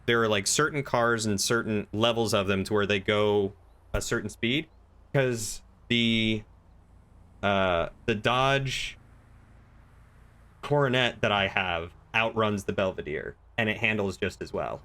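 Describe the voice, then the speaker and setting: A man's voice: on the low side, measured pace, pushed and bright. A man chatting casually with someone while playing a game. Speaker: roleplay server crime lead. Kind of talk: casual chat while gaming.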